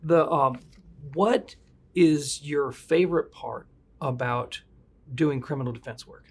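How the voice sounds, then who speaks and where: A man's voice: fairly high pitch, takes it slowly. Chatty joking male podcast host. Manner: chatty, joking, retells cases loosely. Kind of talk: casual legal talk.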